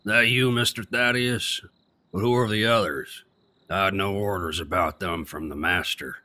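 Man playing a forceful, gruff old-fashioned gatekeeper. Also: deep voice, normal speed. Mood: gruff, stubborn. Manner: rough working-class speech, nonstandard grammar. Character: gruff, stubborn, dutiful male gatekeeper, an ex-prizefighter. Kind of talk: audio drama acting.

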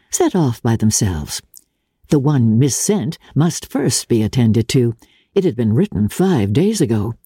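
Woman narrator, low mellow voice, dramatic literary reading, very expressive pitch, close dry sound. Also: husky, no echo.

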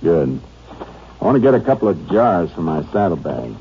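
Texas Ranger: deep, clipped, businesslike American investigator for old radio drama, dull vintage sound. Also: male voice, very animated, moderate pace. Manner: terse, matter-of-fact questioning. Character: laconic, sharp-eyed Texas Ranger investigator. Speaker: actor in a radio crime drama. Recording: muffled, noisy vintage radio recording.

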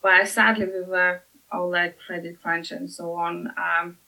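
A woman from Lithuania with a Lithuanian accent, speaking as a podcast guest with many halting "uh" fillers. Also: lowish voice, level tone, creaky, pushed and bright, low-quality recording. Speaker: master blender at a whisky distillery.

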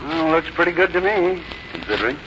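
Devoted grown farm son, American, folksy and boyish, lively swooping delivery, muffled old radio-play recording. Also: male voice, on the high side, a little fast, background noise. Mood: cheerful, easygoing. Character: easygoing, affectionate grown son of a farm woman. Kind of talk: 1950s American radio drama acting.

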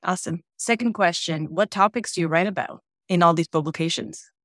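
A woman with a somewhat low voice who hosts an interview. She asks short questions and reacts with enthusiastic, brief replies. Her voice is recorded dry, with no room sound. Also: brisk, no room sound. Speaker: podcast host, interviewer.

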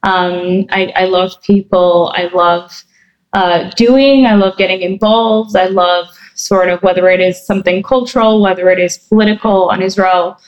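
A woman guest in her thirties, from New Jersey, talks through her answers in a relaxed interview. Her pitch sits mid-range for a woman, and she thinks aloud with frequent fillers and self-corrections. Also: normal speed, lo-fi sound. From New Jersey, USA. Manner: thoughtful, many fillers and restarts.

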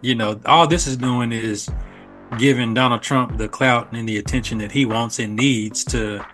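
A man's voice: medium pitch, fairly even intonation, normal speed, fairly bright tone, soft background music. A man giving casual podcast commentary as a guest, opinionated and emphatic with slangy phrasing.

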